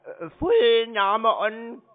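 A person talking slowly and naively on a phone call, sounding confused, literal and repetitive. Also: medium pitch, big pitch swings. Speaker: prank callee stringing along a scam caller. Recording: muffled, band-limited phone call.

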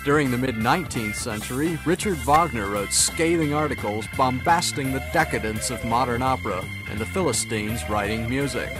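A man's voice: medium pitch, rough recording. A man reading scripted mock-scholarly radio commentary in a formal, pompous manner. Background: guitar music.

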